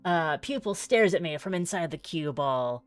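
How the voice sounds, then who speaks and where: A woman's voice: low-pitched, expressive, moderate pace, soft background music. A woman streaming a visual novel, reading the text aloud theatrically with wry, exclaiming asides.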